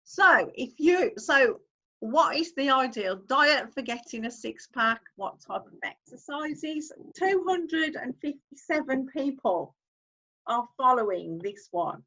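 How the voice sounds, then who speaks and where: A woman's voice: sits high, expressive, slow, pushed and bright, lo-fi sound. A woman, hosting a casual screen-share tutorial, chatting as she clicks through steps and reads on-screen text aloud. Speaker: online-income YouTuber host.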